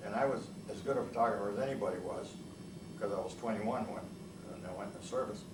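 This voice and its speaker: A man's voice: lowish voice, quick pace. An elderly man who spoke Italian at home as a child, recounting his past in an oral history interview, rambling and hesitant with many restarts. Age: older. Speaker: veteran interviewee. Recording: echoey room, mic far off, noisy.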